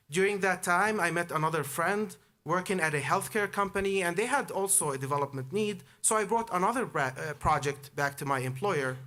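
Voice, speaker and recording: A man's voice: high voice, sharp tone. A man in his thirties from Jordan delivering a prepared commencement speech built on his personal story. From Amman, Jordan. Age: 30s. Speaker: commencement speaker, alumnus.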